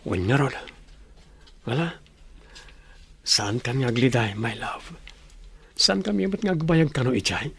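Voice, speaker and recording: A man's voice: medium pitch, expressive, measured pace, long pauses, fairly bright tone, exaggerated voice. A man playing a devoted, earnest young husband in an Ilocano radio drama. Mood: reassuring, affectionate. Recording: music clearly under the voice.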